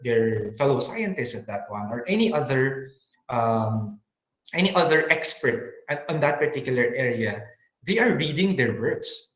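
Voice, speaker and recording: A man giving an earnest webinar talk on teaching science in English, pausing often with fillers and restarts. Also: fairly high pitch, fairly bright tone, reverberant, rough recording. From Philippines. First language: Filipino.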